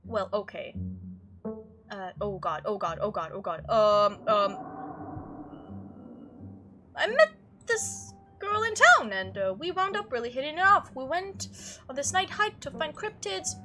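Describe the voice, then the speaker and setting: A woman's voice: high-pitched, big pitch swings, a little slow, pauses often, pushed and bright, full character voice. A woman voicing a rattled young visitor to a haunted town in a visual novel, hesitant and stammering with nerves. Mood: panicked, then shaken, tearful. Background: faint game music.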